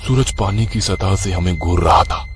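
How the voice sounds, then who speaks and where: A man's voice: sits low, normal speed, noisy background. A man telling a horror story as a scripted, dramatic first-person narration.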